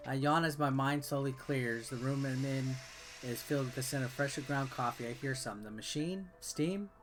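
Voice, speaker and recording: A man hosts a gameplay video in a casual, offhand way. His voice sits at an average pitch as he reads game text and comments on it. Soft music plays in the background. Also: moderate pace. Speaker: game reviewer and video host.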